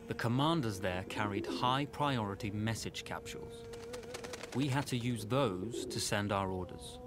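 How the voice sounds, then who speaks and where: An actor voicing a young British officer who narrates and plans in a measured, dry way. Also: male voice, on the low side, noisy background, close to an everyday voice. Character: calm, wry British officer, male, young, leading rebels. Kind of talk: scripted game narration and dialogue. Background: game score music.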